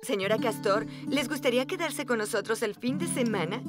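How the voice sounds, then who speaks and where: A woman's voice: fairly high pitch, brisk, music in the background, close to an everyday voice. A woman voicing a kind, gracious mother turtle in a cartoon dub. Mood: warm, gracious.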